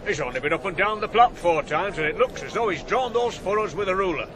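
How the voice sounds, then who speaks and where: A man's voice: high-pitched, lively intonation, fast. A man acting a shrewd, hearty older farmer in a radio drama. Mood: proud. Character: blustery older farmer, the ploughboy's shrewd boss. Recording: noisy old radio broadcast.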